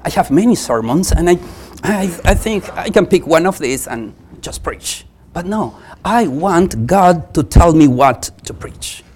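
Visiting preacher, native Spanish speaker with Spanish accent. Unhurried, halting, earnest sermon delivery with wide rises and falls in pitch. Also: expressive, darkish tone, a little hiss or hum. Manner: halting, repeats phrases with earnest emphasis. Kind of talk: church sermon.

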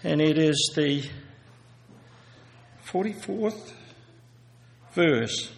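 A man giving a sermon and reading scripture aloud, halting with many fillers and restarts, then growing louder and more emphatic as he reads. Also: on the high side, vocal fry. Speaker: lay preacher. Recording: echoey hall reverb.

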